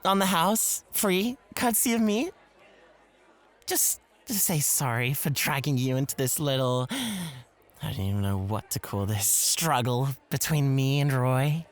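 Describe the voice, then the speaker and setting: A woman's voice: very deep voice, animated, unhurried. A woman playing a possessive, coy club host in an ASMR roleplay, teasing and easily flustered, with theatrical phrasing. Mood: teasing, smug.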